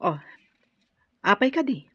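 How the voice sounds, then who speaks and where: Straight-talking big sis rebel, radio drama, very animated, muffled dry sound. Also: female voice, on the high side, unhurried, husky, no room sound, exaggerated voice. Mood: curious, frank. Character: frank, supportive older-sister female rebel comrade. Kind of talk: Ilocano radio drama acting.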